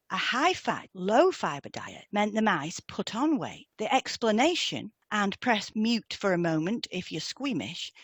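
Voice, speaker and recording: A woman's voice: medium pitch, husky, no room sound, studio quality. A woman presenting a scripted educational talk as a narrator.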